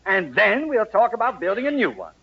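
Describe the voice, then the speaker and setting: An actor playing an exasperated, gullible middle-aged homeowner in an old-time radio comedy, indignant and sputtering. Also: male voice, sits high, very animated, a little fast, dull audio. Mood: resigned.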